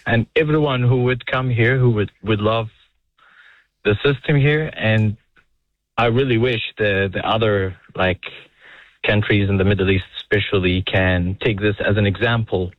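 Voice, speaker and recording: A young man from Saudi Arabia, calling in to a radio show, talking earnestly and haltingly. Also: lowish voice, no echo. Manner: earnest, halting, restarts phrases. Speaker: caller. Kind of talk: casual phone-in chat. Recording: muffled phone-in line.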